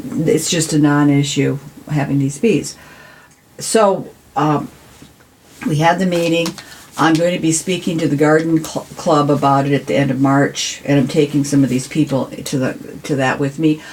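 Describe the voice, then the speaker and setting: A woman's voice: low-pitched, darkish tone, a little hiss or hum, low-quality recording. An American woman talking conversationally as an interview guest, earnest and emphatic, repeating words for stress. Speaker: city council member, podcast guest.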